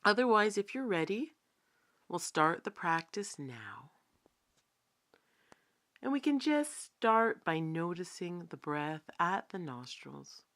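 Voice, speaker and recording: Slow-paced woman guiding a meditation. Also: lowish voice. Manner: calm, unhurried, gently instructive with long pauses. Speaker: meditation teacher. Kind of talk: guided body scan meditation.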